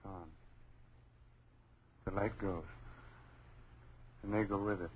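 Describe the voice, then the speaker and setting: A stunned, fearful husband in a vintage radio horror play. His voice is fairly low, clipped and dramatic. The recording is thin and tinny, with clear noise behind. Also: steady tone, slow pace. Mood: horrified. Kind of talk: old-time radio horror acting.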